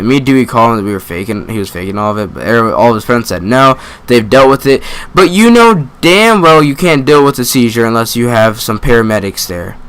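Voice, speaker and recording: A young man telling a story in a casual, slangy, profane style, acting out asides and joking at his own expense. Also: mid-range voice. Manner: animated retelling with quoted asides and self-deprecating jokes.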